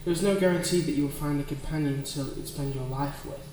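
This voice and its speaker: A man's voice: a little high, fairly quick, a little mellow, close to an everyday voice. A man playing a courtly, possessive demon in an ASMR roleplay, speaking in formal, genteel phrases. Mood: seductive, possessive. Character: suave, courtly male demon coveting a lonely person's heart. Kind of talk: ASMR roleplay, scripted dramatic monologue. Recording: very echoey, distant, clearly noisy ambience.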